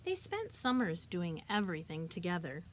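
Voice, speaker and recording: A woman reading a children's picture book aloud, expressively and deliberately, as a teacher would for young listeners. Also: lowish voice, very animated, a little hiss or hum. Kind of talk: children's storybook read-aloud.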